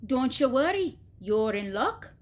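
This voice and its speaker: Woman as a cheerful, lively lady duck. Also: high-pitched, a little slow, voice pushed up, heavily acted. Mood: reassuring, cheerful. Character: cheerful, reassuring female duck, keen to help. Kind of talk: children's storybook read-aloud.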